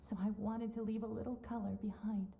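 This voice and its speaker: A woman playing a serene, wise mentor in a video game, speaking in a measured, solemn and gentle way. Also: a little high, flat delivery, gravelly, lo-fi sound, a little hiss or hum. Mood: wistful. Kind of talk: video game character acting. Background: music.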